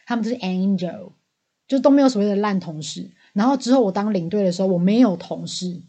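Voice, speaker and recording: Fast-talking, animated Taiwanese Mandarin woman. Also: medium pitch. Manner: animated, blunt, swears freely, laughs often. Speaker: podcast co-host. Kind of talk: casual banter.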